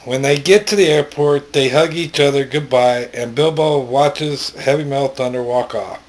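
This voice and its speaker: A man narrating a story in an amateur read-aloud style, plainly, with pauses and stumbles. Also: mid-range voice, low-quality recording.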